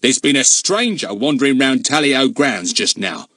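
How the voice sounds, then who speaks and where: Man doing an old gardener, grumpy, shuffling, cracked high voice, grumbling. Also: big pitch swings, moderate pace, bright, forceful tone, no room sound, studio quality. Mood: wheedling.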